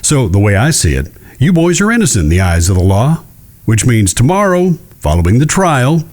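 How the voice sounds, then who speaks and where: A man as a genteel, animated Old West judge. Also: medium pitch. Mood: calm, assured. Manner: measured, genteel, deliberate with careful pauses. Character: shrewd, courteous Old West male judge. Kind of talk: western audio drama acting.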